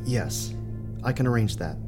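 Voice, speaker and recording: Measured, gentle psychiatrist played by a man. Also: medium pitch, animated, over music, close to an everyday voice. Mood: serious, measured. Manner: measured, reassuring. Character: gentle, composed male psychiatrist. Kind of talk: audio drama dialogue.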